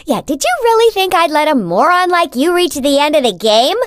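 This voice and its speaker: A man's voice: very high voice, big pitch swings, fairly quick, fairly bright tone, no echo, studio quality, full character voice. An actor voicing a gleeful male cartoon rainbow who taunts and insults the player in a video game. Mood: mocking. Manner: bratty, insulting, cackling.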